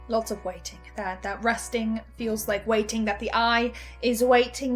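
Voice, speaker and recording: Actress as obsessive female researcher: high-pitched, anxious, halting analytical monologue, intense. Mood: uneasy, hesitant. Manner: hesitant, stammering, intense. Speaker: host playing an in-character researcher.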